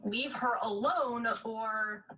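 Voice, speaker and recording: Audio drama role: woman as blunt, protesting teen girl. High-pitched, bright, slightly distant recording. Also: slow pace, slight background noise. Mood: stern. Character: indignant teenage girl who looks young for her age. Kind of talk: scripted audio drama acting.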